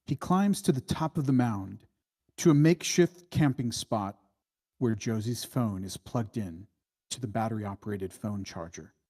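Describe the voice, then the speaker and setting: A man reading screenplay stage directions as scripted narration in a measured, matter-of-fact way. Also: lowish voice, animated, a little mellow.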